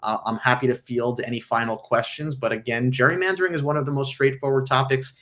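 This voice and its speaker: A man hosting a casual livestream, answering viewer questions with frequent ums, his voice hoarse from illness. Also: medium pitch, fairly even intonation, fast, fairly bright tone. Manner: frequent ums, earnest explanatory.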